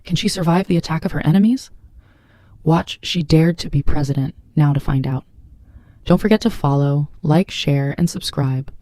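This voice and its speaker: A woman's voice: sits low, normal speed, warm tone, no room sound. A woman delivering scripted trailer narration in a solemn, dramatic manner. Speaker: narrator introducing a story.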